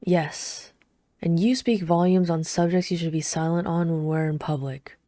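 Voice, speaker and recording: A woman acts out a reserved knight in a fantasy ASMR roleplay. Her voice is deep and velvety, recorded close and dry, with formal, wry restraint. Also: steady tone, normal speed, dark tone, no echo, natural voice. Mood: stern, scolding. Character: reserved, dutiful female knight, dryly scolding royal lover.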